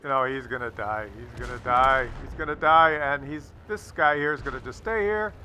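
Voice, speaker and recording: Bright-voiced man casually commentating a game. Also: mid-range voice, moderate pace, slight background noise. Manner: jokey, crude humour, repeats phrases. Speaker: host of gameplay commentary video.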